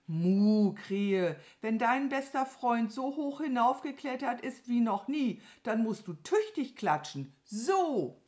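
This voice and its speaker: A woman's voice: fairly high pitch, sing-song, a little mellow, voice pushed up. A good-natured, childlike storybook cow, acted out by a woman. The voice is raised and lilting, naive and carefree, and takes its time over words. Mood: gently pleading. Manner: naive, unhurried, moos before speaking.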